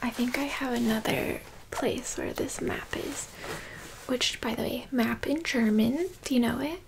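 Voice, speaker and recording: A slightly high-pitched American woman whispers her way through a German lesson, slow and meandering. Her voice has a gravelly edge, and she drops deadpan jokes as she talks. Faint noise sits behind her. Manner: whispery, rambling, deadpan joking. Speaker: language teacher. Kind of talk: whispered ASMR-style German lesson, joking. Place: indoors with books and a map.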